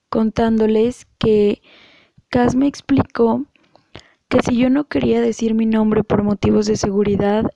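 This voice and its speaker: A woman with a Mexican accent giving an earnest, measured testimony as a guest speaker. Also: a little high, gravelly, mellow, dry recording, dull audio. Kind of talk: prepared testimony.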